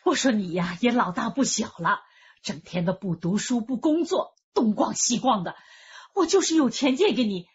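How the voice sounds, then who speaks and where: Radio drama: tight-fisted old woman, highish voice, lively rise and fall, scolding type. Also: normal speed, breathy edge, muffled recording. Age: older. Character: nagging, stubborn, tight-fisted elderly woman. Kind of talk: Chinese crime radio drama acting.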